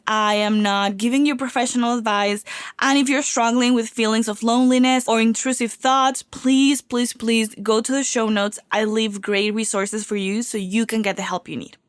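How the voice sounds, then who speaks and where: A woman's voice: on the high side, no echo, studio quality. A woman from Venezuela, hosting a solo podcast in an upbeat, confiding way while getting over a cold. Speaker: podcast host.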